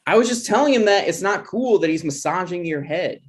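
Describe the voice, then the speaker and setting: A man's voice: high voice, lively intonation, fairly quick. Man acting hesitant, insecure, jealous boyfriend. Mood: defensive. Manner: hesitant, stammering. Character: insecure, jealous boyfriend, unemployed grad student. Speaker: actor in a screenplay table read. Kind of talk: naturalistic comedy table read.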